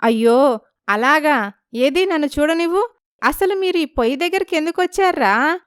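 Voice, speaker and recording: A woman voicing a despairing village mother in an animated story, lamenting and pleading. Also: sits high, moderate pace, no echo, clean studio sound, pitched above the performer's own voice. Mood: alarmed, worried. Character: sorrowful, despairing village mother of young children.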